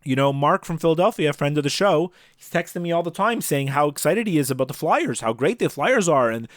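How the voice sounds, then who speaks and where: A man's voice: fairly high pitch, animated, brisk, no room sound. A man co-hosting a casual sports podcast, chatting on a close mic over a video call.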